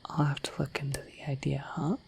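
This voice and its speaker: A woman voicing a shy, affectionate, dorky dragon in a soft, breathy, halting ASMR whisper, close to the microphone. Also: extremely low pitch, little pitch movement. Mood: bashful, curious. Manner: halting, gentle, earnest. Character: shy, dorky, affectionate female dragon, naive about humans.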